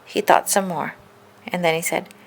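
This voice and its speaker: A woman reading a children's story aloud in an expressive, deliberate narrating style. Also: fairly low pitch, gravelly, rough recording. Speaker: storybook reader.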